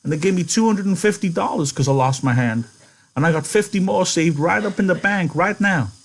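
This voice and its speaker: An old ranch hand acted by a male reader, hopeful and excitable, pitched very high. His talk is rustic and folksy, like a character in a read-aloud novel. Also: brisk, creaky, slight background noise. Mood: eager, hopeful. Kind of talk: audiobook-style character reading.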